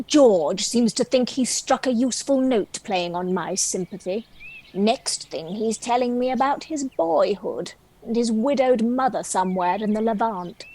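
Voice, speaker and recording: A woman's voice: a little high, mellow, low-quality recording. A woman playing a chatty, self-pitying dragon who tells its own story in a wry, theatrical comic stage monologue. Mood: wistful, self-pitying. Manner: wry, theatrical, measured storytelling with arch asides.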